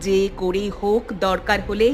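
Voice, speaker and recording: A woman's voice: mid-range voice, flat delivery, moderate pace, music in the background, close to an everyday voice. A woman voicing an anxious but determined mother in a cartoon, earnest and protective. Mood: resolute. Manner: earnest, resolute. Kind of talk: cartoon story dubbing.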